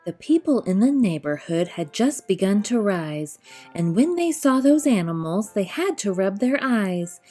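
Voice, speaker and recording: A woman narrates a children's picture book in rhyming verse. Her voice is mellow and mid-pitched, her delivery expressive and rhythmically measured. Soft music plays underneath. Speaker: storyteller reading aloud.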